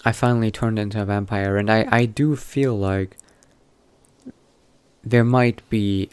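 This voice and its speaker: A man playing a newly turned vampire mage in a game roleplay, musing to himself in a halting, politely understated way. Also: fairly low pitch, fairly even intonation, a little mellow, smooth, natural voice. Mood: polite, hesitant. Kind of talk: in-character video game roleplay narration.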